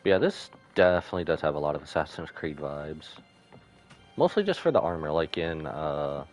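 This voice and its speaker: Low-voiced, animated male game streamer. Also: normal speed, soft background music. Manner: slangy, offhand reactions. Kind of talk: casual live gameplay commentary.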